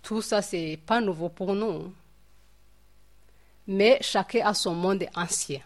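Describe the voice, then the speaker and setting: Woman from Rwanda, Bible study leader, hesitant, halting, full of fillers and repeats, medium pitch. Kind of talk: informal Bible teaching.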